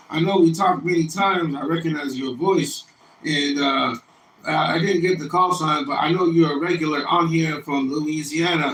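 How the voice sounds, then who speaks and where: A man operating an amateur radio, switching between drawn-out calls and friendly casual on-air chat. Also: fairly high pitch, fairly bright tone. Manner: friendly, drawn-out repeated call phrases. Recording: noisy, echoey, distant radio room with static. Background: radio noise and clicks.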